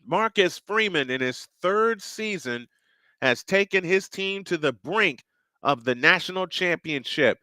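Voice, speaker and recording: A man's voice: high voice, lively intonation, measured pace, bright, forceful tone, dry recording. A man hosting a live sports stream, talking to viewers in a chatty, earnest way and calling the teams as they take the field. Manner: chatty, earnest, sometimes restarts phrases. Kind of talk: live play-by-play style commentary.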